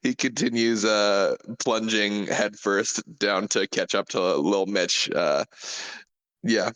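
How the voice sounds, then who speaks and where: A man player in a tabletop game, narrating in a slow, hesitant way. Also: on the low side, a bit bright, clean tone, rough recording.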